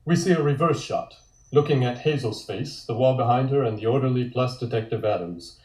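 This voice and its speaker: A man reading scripted scene descriptions as narrator at a table read, deliberate and descriptive. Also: mid-range voice, darkish tone. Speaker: narrator reading stage directions. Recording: echoey room sound.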